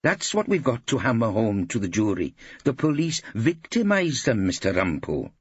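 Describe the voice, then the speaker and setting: A man's voice: medium pitch, wildly swooping pitch, moderate pace, breathy edge, dry recording, lo-fi sound, pitched above the performer's own voice. A man voicing an earnest, zealous Welsh solicitor in an audiobook, preachy and optimistic. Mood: hurt, indignant. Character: earnest, eager, indignant Welsh male solicitor.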